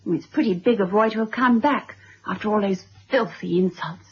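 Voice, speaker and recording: An actress playing a gushing, defiant young upper-class daughter, speaking emphatically in a 1970s radio drama. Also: female voice, mid-range voice, brisk, husky, close to an everyday voice. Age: young adult. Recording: dull old radio drama recording.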